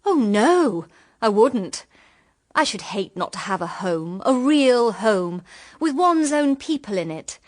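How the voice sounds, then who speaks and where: Gushing, lively young upper-class English woman. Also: fairly high pitch, slow. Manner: gushing, girlish. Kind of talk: period radio drama acting.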